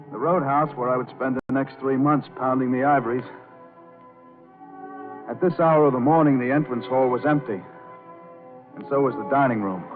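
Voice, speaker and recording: A hardboiled roadhouse pianist played by a man, terse and self-assured in vintage radio drama style. His voice is mid-pitched on a tinny old recording, with clear music behind. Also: natural voice. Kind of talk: 1940s radio acting, first-person crime narration.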